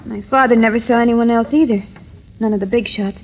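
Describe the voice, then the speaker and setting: A plucky young shopgirl speaks bluntly in a fairly high voice, headstrong and defiant. The sound is a muffled old 1930s radio drama. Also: fairly even intonation, brisk. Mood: desperate determination. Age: young adult. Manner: blunt, plain-spoken, challenging. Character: brave, defiant, headstrong young shopkeeper protecting her father. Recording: dull 1930s radio recording.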